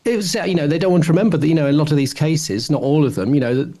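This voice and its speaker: A man's voice: fairly high pitch, rapid-fire, a crowd in the background. Podcast host: man, around fifty, casual, opinionated, teasing. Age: late 40s or about 50. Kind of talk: casual discussion of television.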